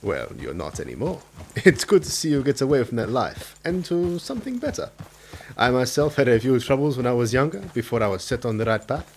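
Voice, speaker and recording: A man's voice: mid-range voice, a little fast, slight background noise. An actor playing a friendly, earnest Mexican lawman in a radio drama, polite and chuckling as he probes. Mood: probing, genial. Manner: courteous, chuckling, lively and probing.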